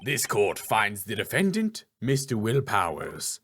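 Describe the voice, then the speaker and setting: A man voicing a solemn elderly courtroom judge in a video game, slow and formal in manner. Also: fairly low pitch, huge pitch swings, fairly bright tone, breathy edge, faint music behind, pitched below the performer's own voice, exaggerated voice.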